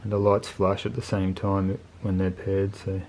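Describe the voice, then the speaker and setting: Low, flat-toned man reviewing gear. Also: moderate pace, a little hiss or hum. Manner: laid-back, colloquial, plain-spoken. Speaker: motorcycle video channel host reviewing gear. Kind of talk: casual unscripted product review.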